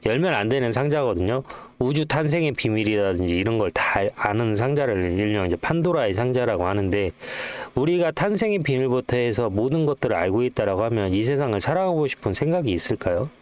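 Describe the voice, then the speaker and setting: A man's voice: mid-range voice, lively intonation, fast talker. A middle-aged man hosting a stock trading channel, explaining charts informally and often putting rhetorical questions to his viewers.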